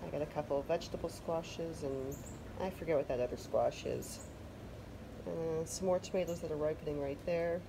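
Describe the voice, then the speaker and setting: A Canadian woman with a somewhat low voice chatters easily about her garden haul, filling her talk with little asides and self-mocking remarks. She records outside on a covered deck, and a steady background hiss sits under her voice. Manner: chatty, self-deprecating, loose fillers. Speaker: vlogger. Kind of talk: casual garden vlog chat. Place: covered wooden deck outdoors.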